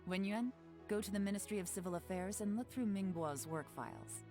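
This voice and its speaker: A woman voicing a composed intelligence chief in a video game, speaking calmly and with quiet authority over faint game music. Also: mid-range voice, brisk, lightly acted. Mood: commanding. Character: cool-headed woman spymaster giving orders. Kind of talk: game voice acting.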